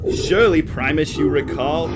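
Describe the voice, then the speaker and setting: Man playing a theatrical gloating villain salesman, high-pitched. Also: very noisy, close to an everyday voice. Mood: smug, taunting. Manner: theatrical, drawn-out, gleeful taunting. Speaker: actor. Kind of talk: audio drama acting. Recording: loud music over the voice. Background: loud music.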